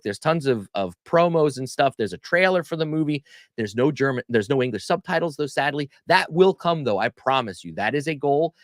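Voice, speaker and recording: A man's voice: medium pitch, no echo, studio quality. A man hosting a live online show, chatting casually and reading viewer comments aloud with an upbeat manner. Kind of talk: casual livestream chat.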